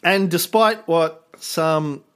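A man's voice: on the high side, slow pace, a bit bright, clean tone. A man hosting a podcast in casual chat, joking around and swearing freely. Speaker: podcast host.

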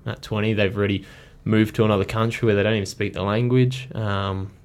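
Young man from Belarus, lowish voice, relaxed and reflective, a few ums. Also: brisk. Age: young adult. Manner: easygoing, thoughtful, with frequent ums. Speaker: guest athlete. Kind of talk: casual chat.

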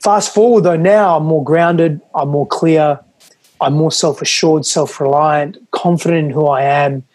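A man's voice: on the high side, fairly even intonation, unhurried, a little mellow, dry recording. A man from Western Australia, whose was Greek, speaking earnestly and reflectively as a podcast guest, often restarting his phrases. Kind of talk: reflective interview talk.

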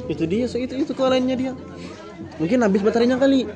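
A young man from Kalimantan with a Kalimantan accent, co-hosting a podcast in relaxed, chatty talk. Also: extremely high pitch, quick pace, highs cut off. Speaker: podcast co-host. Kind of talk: casual chat. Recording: busy crowd noise behind.

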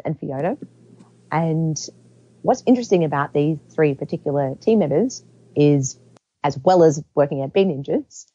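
A woman co-host talks earnestly, her voice croaky and raspy from a cold. She sounds very low and dark. The recording is dry and dull, like a lo-fi remote line. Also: no echo. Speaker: co-host, company CEO. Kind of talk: casual podcast chat.

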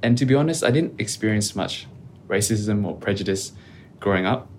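A man speaking as a podcast guest in a calm, reflective, measured way. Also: on the low side, a little hiss or hum. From Australia. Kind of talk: casual interview conversation.

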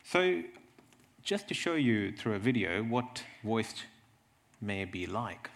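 A man's voice: fairly low pitch, big pitch swings, slow pace. A man presenting as a clinician at a conference, telling anecdotes and posing rhetorical questions with emphasis. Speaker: clinician presenting at a health event.

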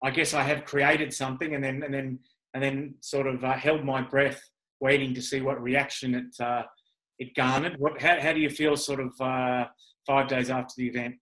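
A man's voice: medium pitch, little pitch movement, normal speed, fairly bright tone, low-quality recording. A man hosting a podcast interview in a casual, chatty, self-deprecating and rambling way. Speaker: podcast host.

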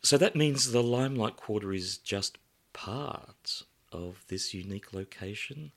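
A man's voice: on the low side, animated, unhurried, pitched above the performer's own voice. A man voicing an outspoken, bewildered camp male friend in a novel, emphatic and direct.

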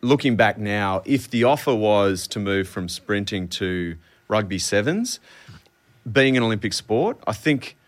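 Low-pitched Australian man; halting, thinking-aloud guest. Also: expressive. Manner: halting, many restarts and ums. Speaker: guest, former sprinter. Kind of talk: casual podcast chat.

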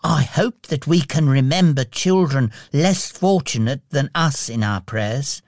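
A man voicing a frail, gentle, earnest little boy with a fragile voice in a storybook reading. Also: medium pitch, a little slow, no room sound, studio quality, natural voice. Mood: earnest, hopeful.

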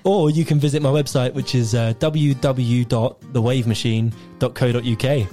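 Mellow man, relaxed and halting. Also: medium pitch, faint music behind. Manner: relaxed, hesitant, many fillers. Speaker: musician guest. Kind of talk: casual radio interview.

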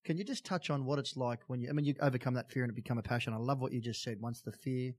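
Male Australian interviewer, mid-pitched, informal and enthusiastic, relaxed mate-to-mate phrasing. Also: very fast, no room sound, clean studio sound. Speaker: podcast host and interviewer. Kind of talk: casual interview chat.

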